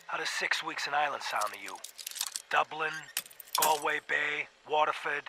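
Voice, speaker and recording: An actor playing a cold, smooth-talking male mob boss, dictating recorded messages in a video game. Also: fairly high pitch, moderate pace. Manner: calm, sardonic gangster drawl. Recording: noisy game audio capture.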